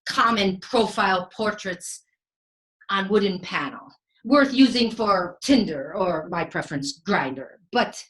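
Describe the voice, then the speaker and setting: A woman acts out a vain, playful Renaissance inventor in a comic stage monologue. The delivery is unhurried and florid, with dramatic pauses and flourishes of Italian. The pitch sits in an average female range. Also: fairly bright tone, low-quality recording. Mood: boastful, indignant, then exacting, frustrated. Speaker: stage actor.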